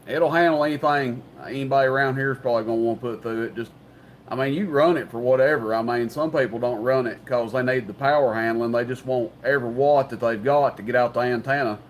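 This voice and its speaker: A man's voice: medium pitch, quick pace. A man explaining technical things casually and carefully on a CB radio chat. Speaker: CB radio operator. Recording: CB radio chat with faint noise.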